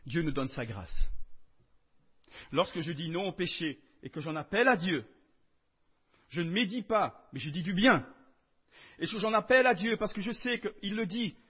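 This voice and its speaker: A man preaching a sermon in French, emphatic, swinging between loud declarations and soft asides. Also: high voice, expressive, normal speed, long pauses, husky, dull audio.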